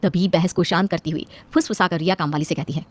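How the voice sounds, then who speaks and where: A woman's voice: on the low side, fairly even intonation, fast. A woman reading scripted narration for a domestic family story.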